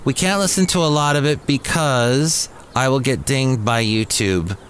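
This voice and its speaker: A man's voice: medium pitch, measured pace, a little hiss or hum. Chatty man hosting a music podcast. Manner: chatty, offhand, reads listener emails aloud. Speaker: podcast host. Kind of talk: casual podcast chat introducing songs. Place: café.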